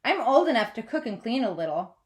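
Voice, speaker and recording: A woman's voice: a little high, big pitch swings, fairly quick. Lady reading as a whiny seventh grade girl, storybook, earnest begging, exasperated, voice goes up and swoops around. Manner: whiny, emphatic pleading.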